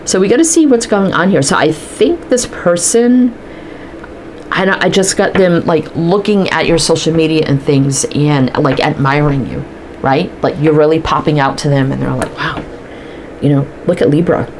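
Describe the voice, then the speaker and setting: Stuffy-nosed woman, low pitch, chatty tarot reader talking through her cards. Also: moderate pace, a little mellow, slight background noise, low-quality recording. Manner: chatty, thinks aloud while pulling cards. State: stuffy from allergies, sniffling. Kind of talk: casual tarot card reading to camera.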